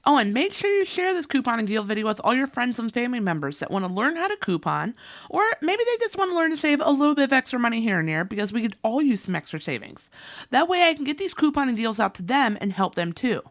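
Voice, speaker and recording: A chatty woman in her thirties hosts a couponing video, her voice bright and higher than most, swooping up and down as she lists items and prices. Her voice is a little worn and hoarse, as if it is going, yet she keeps an eager, friendly pace. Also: sing-song, quick pace. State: losing her voice. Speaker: couponing channel host. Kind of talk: upbeat scripted deal rundown.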